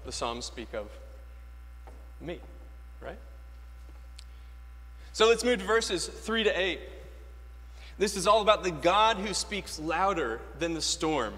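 Echoing church, American man, fairly high voice, priest giving a sermon, emphatic, repeats key phrases, rhetorical questions. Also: big pitch swings, slow, pauses often, bright, forceful tone. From Florida, United States. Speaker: Anglican priest preaching. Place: large church interior, speaking from a lectern. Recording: echoey church with long reverb.

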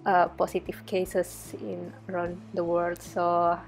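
A woman's voice: lowish voice, monotone, slow pace, creaky, soft background music, rough recording. A young woman from Indonesia, a research fellow, talking viewers through a coding tutorial over a screen recording in a chatty way with many fillers. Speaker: research fellow teaching data analysis.

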